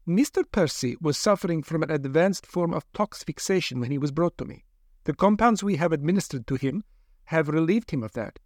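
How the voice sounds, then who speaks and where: A man voicing a brisk, coolly polite female doctor who experiments on captives, in a storybook reading. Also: a little high, expressive, darkish tone, no echo, clean studio sound, pitched above the performer's own voice. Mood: firm. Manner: polite, clipped, coolly insistent.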